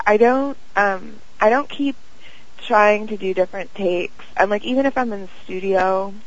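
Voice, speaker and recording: Young woman, interview guest, dreamy halting talk, lots of fillers, muffled lo-fi audio. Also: medium pitch. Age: young adult. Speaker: guest, singer and actress. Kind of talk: casual interview chat. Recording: dull lo-fi audio.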